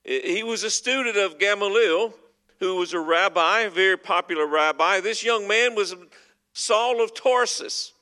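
A man's voice: high voice, measured pace, bright, forceful tone. A man preaching a sermon as pastor, earnest and emphatic as he works through scripture. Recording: long hall reverb.

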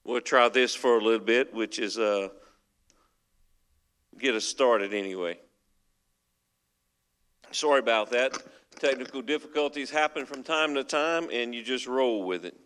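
A man's voice: medium pitch, a little slow, long pauses, a bit bright, clean tone. A man preaching a sermon as pastor, earnest and emphatic as he works through scripture. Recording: long hall reverb.

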